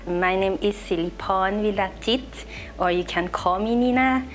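Young female interviewee, Lao accent, slow and hesitant, light music behind. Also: medium pitch. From Laos. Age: young adult. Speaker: interviewee, trainee. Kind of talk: interview answers. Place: indoor office room. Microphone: lapel.

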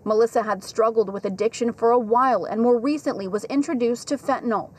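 A woman field reporter delivering a live TV news report outdoors with a handheld microphone, in a measured, clear broadcast manner. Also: fairly high pitch, darkish tone. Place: outdoors at a rehab facility at dusk. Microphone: handheld station mic.

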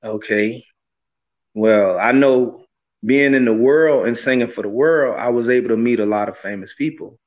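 A man in his thirties speaks earnestly and reflectively, leaning on "you know" as he works through his thoughts. His voice sits at an ordinary middle pitch. The sound is slightly muffled and lo-fi, as on a webcam call from a small room. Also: normal speed. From Florida, USA. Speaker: gospel recording artist, guest. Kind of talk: casual interview over video call. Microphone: webcam on a video call, close framing. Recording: slightly dull lo-fi video call audio.

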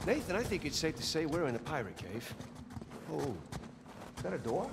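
A man's voice: a little high, sing-song, moderate pace, gravelly, background noise. A man playing a sardonic, eager American treasure hunter, acted naturally for a video game. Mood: excited, wry.

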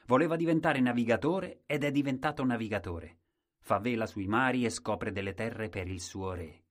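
A man's voice: mid-range voice, normal speed, close to an everyday voice. A man voicing a kindly, commanding king in a fairy tale, speaking in a firm, proclaiming way. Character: kindly, commanding old-fashioned male king.

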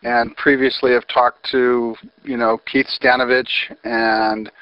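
A man's voice: mid-range voice, level tone, slow pace, smooth, no echo. An American man hosting a phone interview, earnest and wordy with frequent fillers. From United States. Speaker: interviewer, host. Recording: dry, slightly muffled phone recording.

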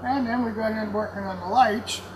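A man's voice: sits high, steady tone, normal speed. A man in his seventies rambling through casual anecdotes in a folksy, salty way. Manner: folksy, salty, laughs while telling stories. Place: indoors in a warehouse with block walls. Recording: clearly noisy warehouse room ambience.

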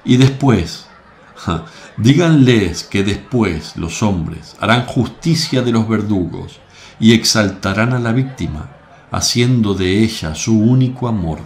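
Guy playing a calm religious teacher, radio play, low voice, super slow and solemn. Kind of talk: radio drama acting.

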